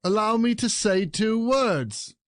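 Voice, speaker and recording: A man acts out a wronged husband in a classic comedy audio play. His fairly high voice is theatrical, indignant and exasperated, forever protesting and pleading. The sound is dry, with no room around it. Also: lively intonation, takes it slowly, no room sound.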